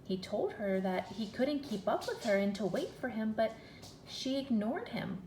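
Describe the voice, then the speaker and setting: A woman teacher reading a children's story aloud in an expressive, deliberate, questioning way. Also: a little high. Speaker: first-grade teacher. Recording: echoey room with faint noise.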